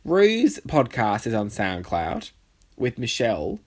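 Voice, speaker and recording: A young man with a nasal voice, hosting a casual solo podcast with cheeky, sweary chatter. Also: takes it slowly. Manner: chatty, cheeky and swearing, with lively pitch swings. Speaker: podcast host. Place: bedroom.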